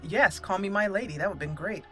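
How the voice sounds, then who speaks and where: A woman's voice: medium pitch, fairly quick, fairly bright tone, faint music behind. A woman hosting a roleplay playthrough, giving casual, chatty commentary and thinking aloud.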